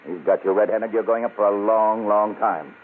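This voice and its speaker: An actor playing a brisk, stern police captain in an old crime radio drama. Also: male voice, fairly low pitch, a little hiss or hum, lightly acted. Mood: stern, triumphant. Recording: tinny narrow-band old radio recording.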